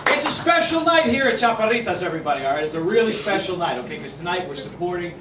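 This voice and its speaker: A man with a Boston accent delivers a wry, self-deprecating comedy set on a handheld mic. His voice sits high and swings widely, and he bursts into loud mock announcements. The sound is distant and echoey, with restaurant chatter underneath. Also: very animated, fast. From Boston, Massachusetts. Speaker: stand-up comedian. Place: Mexican restaurant, indoors. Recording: echoey room, distant mic, audience noise.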